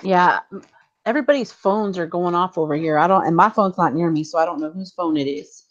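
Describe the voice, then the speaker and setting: A woman's voice: on the low side, brisk, highs cut off. A woman hosting a livestream, chatting casually while she works on a craft and laughing often. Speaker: livestream host.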